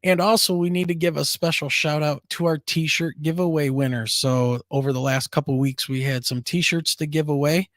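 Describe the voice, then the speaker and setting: A man's voice: on the high side, steady tone, normal speed, hardly any pauses, a bit bright, no room sound, studio quality. Man with a scratchy, worn voice. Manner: upbeat, chatty, laughs easily. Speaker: co-host of a paranormal talk show. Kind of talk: casual live-stream chat.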